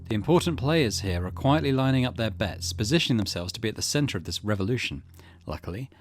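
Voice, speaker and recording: A man voicing a calculating, ambitious tech executive in a visual novel, speaking in a measured, formal, lecturing way. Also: lowish voice.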